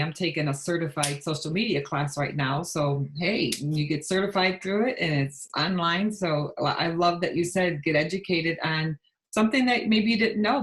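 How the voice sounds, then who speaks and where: A woman attending an online workshop, asking a question in a friendly, eager way over a video call. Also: lowish voice.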